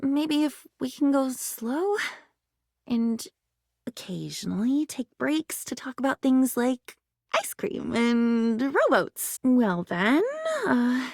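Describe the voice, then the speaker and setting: A woman's voice: high-pitched, expressive, slow, gravelly, no echo, studio quality, exaggerated voice. A woman voicing a sweet, naive and chatty living diary in a video game, rambling with wide-eyed wonder. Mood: nervous, shy. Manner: breathless rambling, wide-eyed, swings from hushed to gushing.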